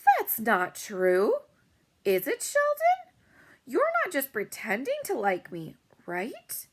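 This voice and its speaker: A woman's voice: on the high side, wildly swooping pitch, slow, breathy edge, pitched above the performer's own voice, full character voice. A woman voicing a spoiled, boastful rich little girl in a storybook, squealing and bragging. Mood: whiny.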